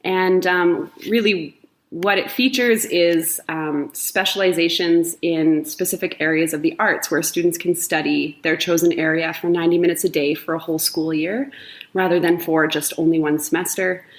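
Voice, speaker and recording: Woman, low voice, middle-aged drama teacher, enthusiastic, chatty with ums, podcast conversation. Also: steady tone, moderate pace. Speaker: high school drama teacher.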